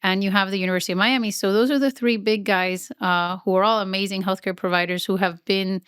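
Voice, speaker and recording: A middle-aged woman in casual interview conversation, chatty and self-deprecating. Her voice is smooth and resonant, sitting at an ordinary pitch. The sound is dry and close. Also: fairly even intonation, quick pace, fairly bright tone, dry recording. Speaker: nonprofit CEO, guest.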